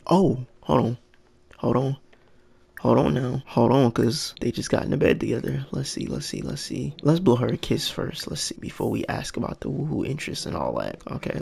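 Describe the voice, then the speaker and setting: A man casually commenting on his game, thinking out loud and getting excited as things happen, with a raspy voice. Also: medium pitch. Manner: thinks aloud, excited and unsure. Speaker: gaming channel host.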